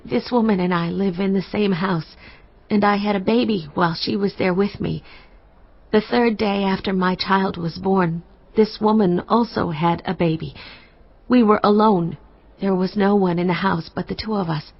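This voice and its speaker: A woman's voice: mid-range voice, steady tone, normal speed, gravelly. A woman acting an emotional, imploring young mother in an audio Bible drama, pleading her case against a rival. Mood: aggrieved pleading. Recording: slightly dull, band-limited audio.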